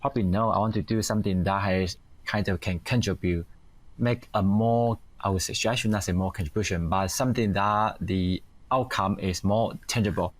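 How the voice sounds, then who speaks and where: A middle-aged man from Taiwan with a Taiwanese accent, giving halting, reflective interview answers as a guest. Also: sits low. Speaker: guest, company founder and engineer.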